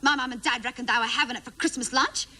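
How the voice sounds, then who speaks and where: A woman's voice: sits high, fast talker, pushed and bright. A woman playing a naive, chatty, indignant schoolgirl in a comedy monologue, running on breathlessly and mimicking her family's words. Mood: chatty, aggrieved. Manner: breathless run-on gossip with mimicked family quotes.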